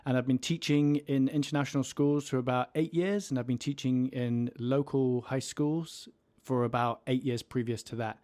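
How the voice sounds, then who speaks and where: Guy in his thirties, teacher cohosting a podcast, jokey and easygoing, middle pitch. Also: steady tone, moderate pace, a little mellow. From England. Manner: playful, self-mocking jokes. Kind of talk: casual podcast chat.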